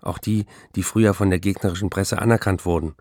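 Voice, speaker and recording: A driven male novelist in a radio play, low-voiced and recorded very dry. He speaks with precise, emphatic defiance, as if every sentence were law. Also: fairly even intonation, fast, darkish tone, no echo. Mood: indignant.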